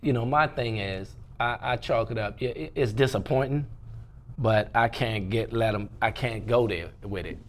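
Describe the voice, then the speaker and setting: A man's voice: lowish voice, moderate pace. A man talking casually as an interview guest, repeating phrases for emphasis. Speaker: interview guest, stage play producer and entrepreneur.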